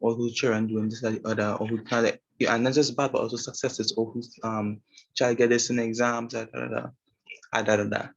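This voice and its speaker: A man's voice: medium pitch, flat delivery, a little fast, creaky. A young Trinidadian man giving an informal talk about a woman he interviewed, speaking hesitantly with many fillers and restarts. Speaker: student presenter. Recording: muffled, lo-fi sound.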